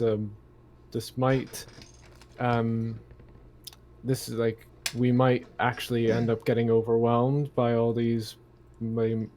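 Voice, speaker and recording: A man chats as a player in a tabletop game. He talks slowly and tentatively at a medium pitch, feeling his way through each thought. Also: darkish tone. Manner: hesitant, tentative. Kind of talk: casual game-table chat.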